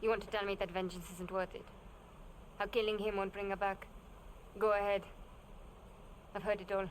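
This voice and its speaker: A woman's voice: mid-range voice, flat delivery, moderate pace, a little hiss or hum, lightly acted, rough recording. An actress playing a guarded, determined young woman in a video game, with a curt, dry and resolute manner. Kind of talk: video game cutscene acting.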